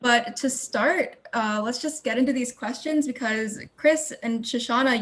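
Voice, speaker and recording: A woman's voice: a little high, a bit bright. A woman hosting a panel discussion over a video call, guiding the conversation and putting questions to guests. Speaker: panel moderator.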